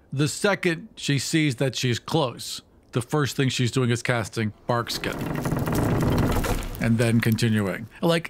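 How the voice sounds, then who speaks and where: A man chatting casually as a player in a tabletop role-playing game, explaining what his character does. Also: mid-range voice.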